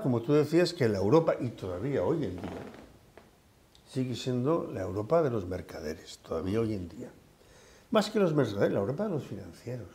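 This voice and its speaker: An older man speaks in a warm, mellow voice that swings widely in pitch. He talks in a thoughtful, conversational way, pausing often to search for words, like a retired professor sharing his views. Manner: thoughtful, with frequent fillers and hesitations. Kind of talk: conversational discussion.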